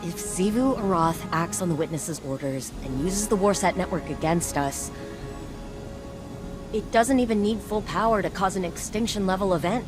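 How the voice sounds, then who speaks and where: A woman's voice: lowish voice, normal speed, close to an everyday voice. Actress as driven woman scientist, casual, wry, encouraging, video game briefing, music underneath. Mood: wry, anxious hope. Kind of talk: scripted video game dialogue. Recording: music behind the voice.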